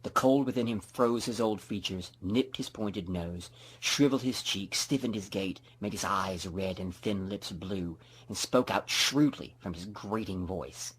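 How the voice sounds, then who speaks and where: A man reading a classic story as dramatic scripted narration, with theatrical, deliberate emphasis. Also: on the low side, a little hiss or hum, rough recording.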